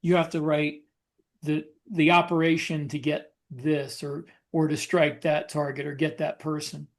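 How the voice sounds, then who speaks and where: A man co-hosting a casual podcast discussion as a writer, explaining things in a halting way. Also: on the high side, flat delivery. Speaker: podcast co-host, science fiction writer.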